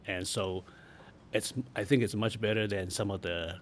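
A middle-aged man from Taiwan reminiscing casually in an interview, in a halting, self-correcting way. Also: on the low side, steady tone. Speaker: interview guest, architect. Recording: noticeable background noise.

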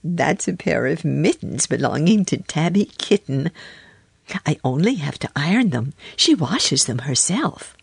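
A woman's voice: low-pitched, very animated, measured pace, vocal fry, slightly rough, no echo, exaggerated voice. A woman voicing a stout, polite, fussy little hedgehog washerwoman in a children's storybook, chatty and deferential. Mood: fussy, eager.

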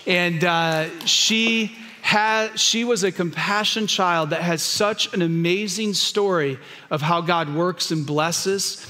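A man's voice: sits high, takes it slowly, hardly any pauses, sharp tone, big-room sound. A man, the pastor, introducing a guest to his church congregation with moved, heartfelt storytelling. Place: church sanctuary.

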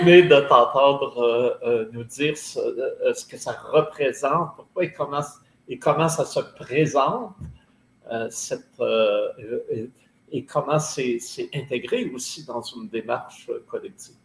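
Man, podcast host, halting, filler sounds, roomy sound, faint noise. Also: medium pitch, lively intonation. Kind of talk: interview questions.

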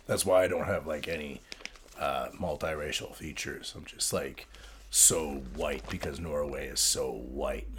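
Low-key man on a loud hissy line. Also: steady tone, a little slow. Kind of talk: casual podcast banter.

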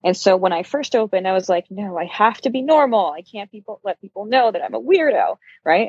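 A woman's voice: mid-range voice, darkish tone, breathy edge, dry recording, muffled recording. A middle-aged woman from Brazil being interviewed, telling personal stories in a candid, self-deprecating, conversational way. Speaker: interview guest, yarn shop owner.

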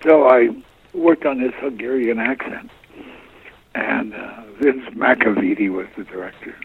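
Older male actor guest, gravelly voice, halting storyteller, heard over a phone line. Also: medium pitch. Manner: rambling, halting, many fillers and restarts. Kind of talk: relaxed interview storytelling.

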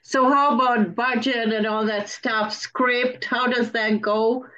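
A woman's voice: fairly high pitch, steady tone, slow, sharp tone. A woman interviewing a guest in a casual, conversational way. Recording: dull lo-fi sound.

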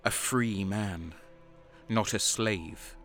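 A man's voice: lowish voice, extremely slow, vocal fry, fairly bright tone, soft background music, heavily acted. A man playing a bitter traitor guardsman who narrates his own story in a grim, hard voice in a fan audio drama. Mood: defiant. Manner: grim inner narration, heated in arguments. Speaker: narrator and lead character.

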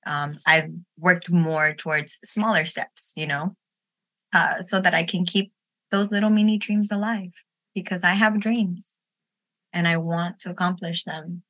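A woman's voice: lowish voice, steady tone, measured pace, a bit bright. A woman in her late twenties hosting a casual roundtable podcast, talking informally and candidly with a playful streak. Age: late 20s. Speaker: podcast host.

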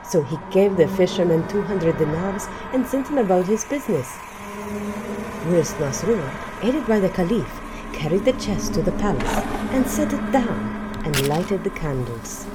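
A woman's voice: on the low side, background noise. A woman narrating an audio drama in a scripted, archaic storybook style.

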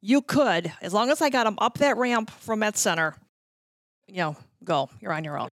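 A woman's voice: medium pitch, lively intonation, normal speed, no echo, studio quality. A middle-aged woman telling stories in a casual chat as a guest, acting out little quotes as she goes. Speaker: guest, former radio promotions worker.

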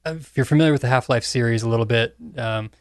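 Thoughtful man, mid-pitched, dry sound. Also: quick pace, clean tone, no echo. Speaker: podcast panelist. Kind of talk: casual film and game discussion.

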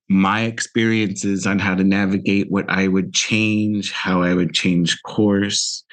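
A man in his fifties with an American accent, hosting a reflective solo podcast in a measured, earnest manner. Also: on the low side. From Central Valley, United States. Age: 50s. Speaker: podcast host.

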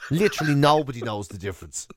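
A man with an Irish accent co-hosting a comic radio show, telling stories in an excitable, rambling way. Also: mid-range voice, fast, noisy background.

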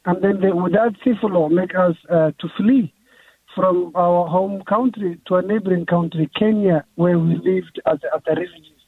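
A man's voice: high voice, moderate pace, husky, dry recording. Earnest man on a phone line. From Somalia. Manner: earnest, repeats and restarts phrases. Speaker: author guest. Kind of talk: radio interview.

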